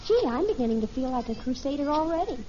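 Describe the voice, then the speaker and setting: An actress playing an earnest, eager teenage daughter in a 1950s radio family sitcom. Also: female voice, sits high, lively intonation, quick pace, mellow. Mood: eager, polite. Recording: muffled, noisy old radio recording.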